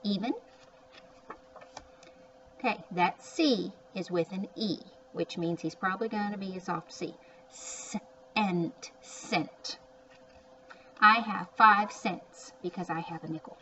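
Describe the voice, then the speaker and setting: A woman leads an early phonics lesson at a very unhurried pace, breaking words into sounds and saying them again. She adds chatty little comments as she goes. The recording is muffled and a little distant, with faint noise behind her voice. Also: medium pitch, fairly bright tone. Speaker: early reading teacher. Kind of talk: word-by-word phonics lesson for young children.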